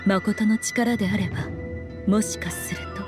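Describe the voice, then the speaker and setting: A woman voicing a sly, regal fox shrine maiden in an anime game, with measured, knowing, old-fashioned diction. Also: mid-range voice, steady tone, over music, close to an everyday voice. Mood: cool, pondering. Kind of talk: Japanese anime game voice acting.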